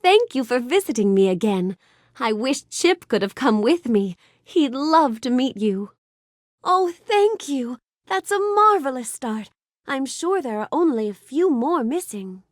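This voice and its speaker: A high-voiced, sweet young storybook heroine for a video game. Her lines swing melodically with bookish curiosity and polite warmth, gentle and full of wonder, in a close, dry studio sound. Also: a little slow, no echo. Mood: delighted, congratulatory. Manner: gentle, wonder-filled, courteous, expressive delivery. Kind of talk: animated game character dialogue.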